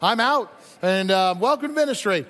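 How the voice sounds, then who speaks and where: Male pastor, high-pitched voice, chatty informal sermon delivery with repeated phrases, long hall reverb. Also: expressive, normal speed, fairly bright tone. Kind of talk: conversational sermon to a congregation. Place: large indoor church hall.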